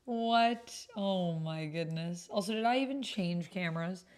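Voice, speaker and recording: A woman with a slightly low voice hosts a casual livestream. She moves between reading messages and prompts aloud and commenting on them in a chatty, conversational way. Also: unhurried, a little mellow, a little hiss or hum. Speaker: livestream host and tabletop roleplay player.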